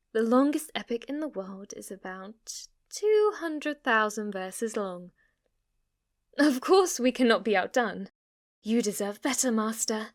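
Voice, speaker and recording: A woman performs as a fawning fantasy maid who worships her master. Her voice sits fairly high and she speaks slowly, with formal reverence and a flirty submissiveness, recorded close and dry for ASMR roleplay. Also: dry recording. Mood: reverent, proud.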